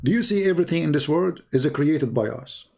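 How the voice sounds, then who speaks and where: Gravelly guy, mocking and sarcastic, stops and starts a lot, desk mic. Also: a little high, animated, fairly quick, warm tone. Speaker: channel host. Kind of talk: mocking livestream commentary.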